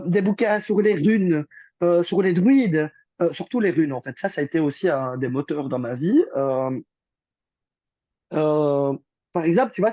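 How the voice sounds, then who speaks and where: A man's voice: on the high side, warm tone, no room sound. A young man talks as a guest, rambling from one thought to the next with plenty of fillers and restarts. The recording sounds muffled and lo-fi. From Belgium. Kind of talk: casual conversation.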